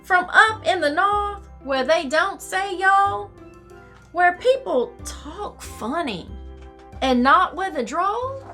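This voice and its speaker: Woman playing little girl, very high bright voice, innocent, wondering, storybook character. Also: expressive, slow, soft background music, full character voice. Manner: wondering, childlike. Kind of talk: children's storybook character reading.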